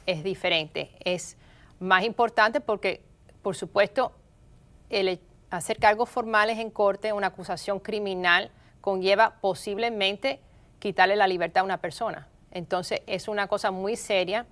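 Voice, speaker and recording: Smooth-voiced middle-aged woman. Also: mid-range voice, fairly even intonation, fairly bright tone. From Miami, of Cuban parents. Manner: measured, explanatory, earnest. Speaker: guest, state prosecutor. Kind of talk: interview answers.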